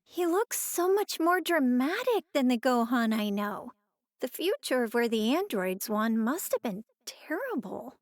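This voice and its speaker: A woman's voice: sits high, very animated, a little slow, no room sound, clean studio sound. A woman voicing an earnest, curious, sweet young woman fighter in an English anime game, with a wondering manner. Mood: wistful.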